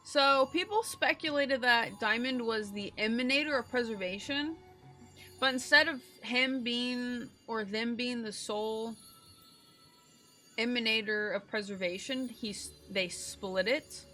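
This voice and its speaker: A woman streamer giving casual, slangy, excitable commentary on a game playthrough, swearing freely. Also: fairly high pitch, unhurried, soft background music.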